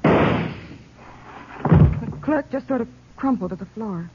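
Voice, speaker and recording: An actress playing a shaken American crime witness in a radio drama, speaking plainly in a hesitant, nervous way. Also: female voice, fairly high pitch, lively intonation. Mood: distressed. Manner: halting, nervous, plain-spoken. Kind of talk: 1950s radio drama acting. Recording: muffled, noisy old-time radio.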